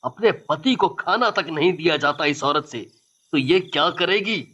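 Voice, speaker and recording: A man's voice: fairly high pitch, lively intonation, measured pace, bright, forceful tone, highs cut off, heavily acted. An actor playing an exasperated young husband and devoted father in a story, reproachful and earnest.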